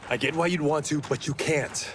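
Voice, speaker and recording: A man's voice: fairly high pitch, background noise, natural voice. An actor playing an earnest, level-headed swordsman, speaking seriously in a video game cutscene. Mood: urgent, reasoning. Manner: measured, serious, sincere.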